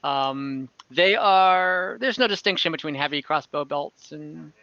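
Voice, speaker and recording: A man with a bright, slightly high voice improvises as game master for an online fantasy game. He talks casually and haltingly, in a dry, slightly muffled recording. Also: dry recording.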